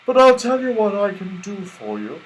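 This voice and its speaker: A kindly little toy rabbit, played by a man reading a storybook, pitched high and swinging up and down as he gushes. He is sentimental and excitable, stumbling slightly over his words. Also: moderate pace, low-quality recording. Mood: eager, helpful. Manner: gushing, hesitant, exclamatory. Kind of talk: children's storybook character voice.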